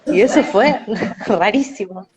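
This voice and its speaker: A woman with a Rioplatense Spanish accent giving measured interview answers, often tagging her sentences with a questioning "no?". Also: medium pitch, animated, a little mellow, slight background noise, rough recording. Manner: measured, often adds "no?" tags.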